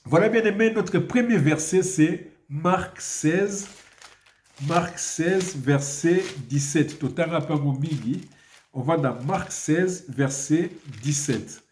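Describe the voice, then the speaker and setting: A priest preaching a video sermon, with a cold and an emphatic style full of rhetorical questions. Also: male voice, a little high, slow pace, darkish tone, slight background noise. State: has a cold. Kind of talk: video sermon with prayer and Bible reading. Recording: echoey room.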